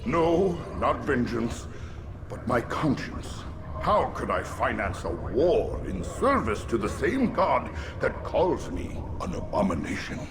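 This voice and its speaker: An aggrieved nobleman and outcast in a game cutscene. He is theatrical and anguished, with a wildly varying pitch and noisy ambience behind. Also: very animated, takes it slowly. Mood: indignant. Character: bitter, wounded male noble outcast, proud and aggrieved. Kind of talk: video game cutscene acting.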